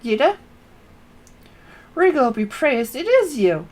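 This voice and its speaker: A woman reading aloud the lines of a hearty veteran male rebel fighter in old-fashioned phrasing, in a voice close to her own but louder. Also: sits high, sing-song, takes it slowly, pitched above the performer's own voice, full character voice. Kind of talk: reading game dialogue aloud.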